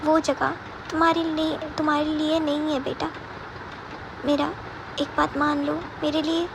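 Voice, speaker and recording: A woman voicing a gentle old granny ghost in a told story, tender and coaxing. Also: high voice, little pitch movement, a little slow, vocal fry, background noise.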